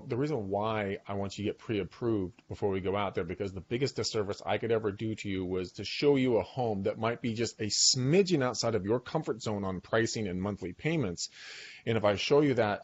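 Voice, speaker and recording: A man in his forties hosting a podcast from his home office, talking with a guest over a video call and telling stories in an animated, talkative way. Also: fairly low pitch, dull audio. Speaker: podcast host. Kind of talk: casual video-call interview. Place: home dining room office. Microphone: boom mic on arm.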